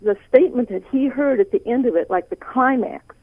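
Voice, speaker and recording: A woman's voice: fairly high pitch, a little fast, husky, dry recording. A woman from the northern US with a northern accent, calling in to a radio talk show and telling a story with many hesitant fillers. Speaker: caller. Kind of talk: call-in radio caller. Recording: tinny phone line.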